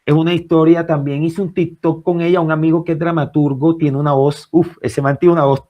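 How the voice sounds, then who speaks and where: Emphatic Caribbean Colombian man, higher voice. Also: little pitch movement, brisk, lo-fi sound. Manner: emphatic, repeating phrases for rhetorical effect. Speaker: writer being interviewed. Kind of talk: conversational interview answers.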